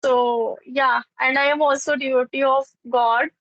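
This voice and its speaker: A woman's voice: high voice, steady tone, measured pace, no room sound, highs cut off. A native Hindi-speaking woman with a North Indian accent, chatting casually in simple non-native English with repeated phrases. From northern India, raised in Chandigarh. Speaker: English conversation teacher. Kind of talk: casual English practice conversation.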